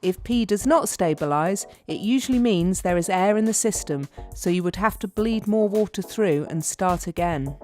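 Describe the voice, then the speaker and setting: A woman's voice: medium pitch, lively intonation, darkish tone. Woman narrating a training film over music. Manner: measured, step-by-step instructional delivery. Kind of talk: scripted instructional narration.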